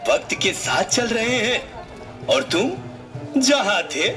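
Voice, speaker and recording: A man playing a wise old village patriarch in a puppet show, measured and advising. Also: extremely high pitch, animated, music in the background, heavily acted. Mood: admonishing.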